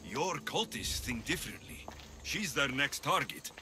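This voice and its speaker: A man's voice: medium pitch, sing-song, unhurried, pushed and bright, a little hiss or hum, heavily acted. A man playing a young Greek mercenary in a video game, earnest and defiant, pleading for his family. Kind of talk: video game dramatic acting.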